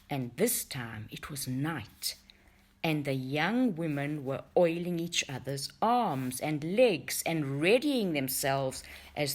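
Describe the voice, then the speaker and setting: Low-voiced woman reading a tale, unhurried and animated. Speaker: reader of a folktale for a book study group. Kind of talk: expressive storybook narration.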